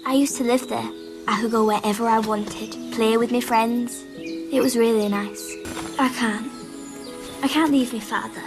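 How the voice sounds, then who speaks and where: Very high girlish voice, acted by a woman, earnest, plaintive, spirited, cartoon film, music underneath. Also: fairly even intonation, measured pace, natural voice. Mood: wistful. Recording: music behind the voice.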